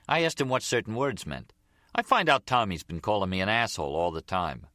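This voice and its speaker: A man's voice: on the low side, expressive, fairly quick, sharp tone, no room sound, clean studio sound, voice pushed up. A man voicing an eager, resentful young mob underling in an audiobook, whiny and insistent, with street-tough grammar. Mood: aggrieved, incredulous.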